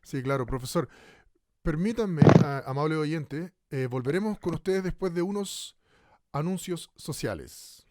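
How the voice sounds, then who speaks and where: An actor playing a polite, earnest radio host conducting a formal live interview in a radio drama. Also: male voice, a little high, expressive, unhurried, darkish tone, dry recording. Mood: courteous, formal. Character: courteous, earnest male radio interviewer in troubled times.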